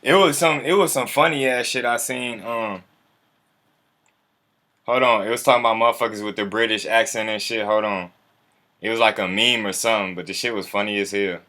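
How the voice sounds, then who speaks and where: A man's voice: fairly low pitch, fairly even intonation, brisk, bright, forceful tone. A man hosting a casual livestream, talking in a laid-back, slangy, profane way and chuckling often. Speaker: livestream host.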